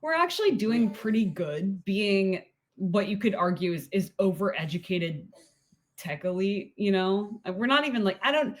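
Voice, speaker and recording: A woman's voice: mid-range voice, moderate pace. A woman in her late thirties co-hosting a podcast in casual chat, gushing and laughing often. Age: 30s. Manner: giggly, gushing, lively with frequent laughs. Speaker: podcast co-host.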